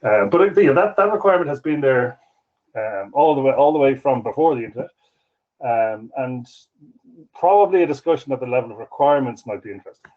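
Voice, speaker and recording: Hesitant male speaker, steady mid voice, slightly dull call audio. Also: medium pitch, fast talker. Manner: hesitant, many fillers and restarts. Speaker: conference speaker. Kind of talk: conference talk over video call.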